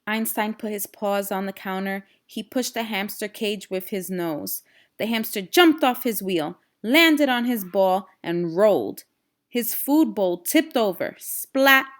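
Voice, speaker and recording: Female storybook reader, middle pitch, unhurried, expressive, explanatory with children. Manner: expressive, explanatory, addressing young listeners. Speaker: read-aloud storyteller and teacher. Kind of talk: children's storybook read-aloud with teaching asides.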